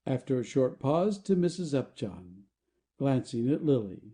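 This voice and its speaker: A man narrates the stage directions of a play. The medium-pitched voice is very warm and relaxed in tone, yet varies a lot in melody from phrase to phrase. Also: a little slow.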